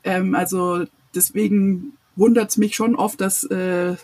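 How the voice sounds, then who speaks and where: Podcast guest, breathy, earnest, laughs often. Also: mid-range voice, flat delivery, takes it slowly, a little mellow, poor audio. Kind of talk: casual podcast conversation.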